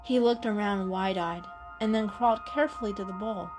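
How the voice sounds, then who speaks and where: A woman's voice: mid-range voice, a little fast. A woman narrator giving a scripted, dramatic reading of an animal story. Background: music.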